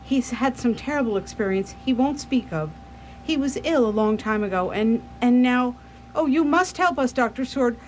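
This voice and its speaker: A woman's voice: a little high, moderate pace, slight background noise, lightly acted, poor audio. A woman playing an anxious, devoted young wife in a radio play, genteel and pleading. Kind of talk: radio theatre acting.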